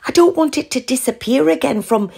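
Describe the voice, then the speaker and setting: A woman voicing a flustered, excitable middle-aged divorced mum, confiding and urgent in an audio story. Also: high voice, a little fast, vocal fry, pitched above the performer's own voice. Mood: pleading.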